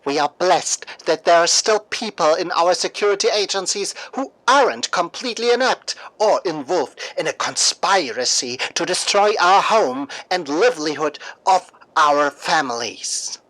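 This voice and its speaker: A storyteller of middling pitch hosts a radio drama. The delivery is theatrical and deliberate, with grand emphasis on key words. The sound is dry and close, with no room around it. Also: expressive, a bit bright, no echo, low-quality recording. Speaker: host and narrator of a fictional radio news show. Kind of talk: scripted dramatic storytelling, mock radio newscast.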